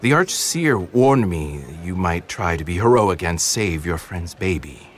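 An actor playing a ruthless, menacing and commanding enforcer in a fantasy audio drama. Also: male voice, low-pitched, animated, measured pace, a little hiss or hum. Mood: cold threat. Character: ruthless, menacing enforcer threatening to kill an infant.

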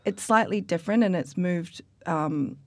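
A woman from New Zealand talks casually about her work, her low voice stumbling through fillers and restarts. The recording is dry and close, with no room around her. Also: normal speed, darkish tone, no echo. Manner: chatty, many fillers and restarts. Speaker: coffee expert guest. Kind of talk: casual interview chat.

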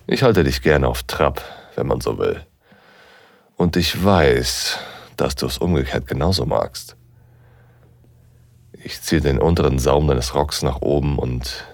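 Dominant seductive professor, super deep voice, slow n deliberate, bit breathy, intimate audio drama. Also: male voice, no echo, exaggerated voice. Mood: teasing, aroused. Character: dominant male university professor, seductive, teasing, commanding lover. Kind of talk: intimate second-person erotic audio drama narration.

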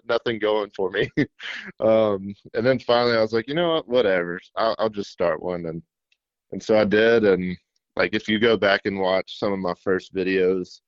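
A shy young man from middle Tennessee, a fishing YouTuber, answering interview questions in a self-described monotone with many fillers. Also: fairly low pitch, no room sound, dull audio. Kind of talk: casual interview.

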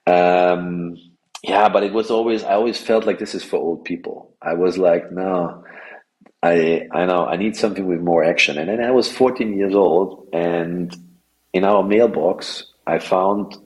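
A man's voice: sits low, steady tone, measured pace, darkish tone, rough recording. A man in his forties with an Austrian accent, a guest on a podcast interview, talking casually with many fillers and restarts. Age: 40s. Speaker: guest, wingfoil tour director.

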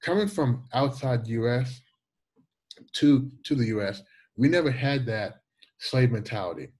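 A man in his forties with a Jamaican accent and a slight lisp, telling stories as an interview guest in an animated way, often repeating and restarting words. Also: mid-range voice, measured pace, husky. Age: 40s. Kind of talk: conversational storytelling interview.

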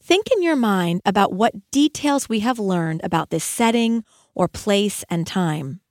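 A woman educator reading her own audiobook as scripted narration, with measured, emphatic phrasing and deliberate pauses. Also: mid-range voice, expressive, darkish tone, no echo, clean studio sound.

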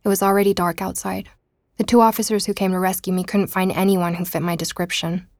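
A woman's voice: medium pitch, level tone, fast, a little mellow, no room sound, clean studio sound, close to an everyday voice. An actress playing an anxious young woman investigator in an audio drama, speaking in a hesitant, naturalistic way. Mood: shaken, subdued narration. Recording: faint background noise.

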